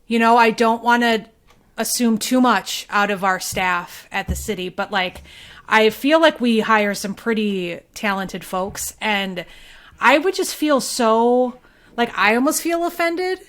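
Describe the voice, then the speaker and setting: A woman's voice: on the high side, a little slow, a bit bright. A woman co-hosting a podcast in casual conversation, thinking aloud and hedging her points as she goes. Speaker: podcast co-host.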